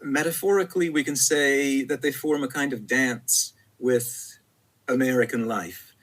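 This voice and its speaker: Male poet guest, husky voice, mid pitch, halting and thoughtful, full of repeats. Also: fairly bright tone. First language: Italian. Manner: halting, many fillers and repeated words. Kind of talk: reflective conversational interview.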